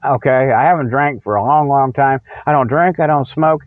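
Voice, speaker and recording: A man's voice: mid-range voice, moderate pace, no echo. Folksy middle-aged man, tinny phone-like sound. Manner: folksy, repetitive, often checks with "okay". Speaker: radio show host. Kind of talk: rambling comedic monologue with spoof ads. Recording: muffled, phone-like radio sound.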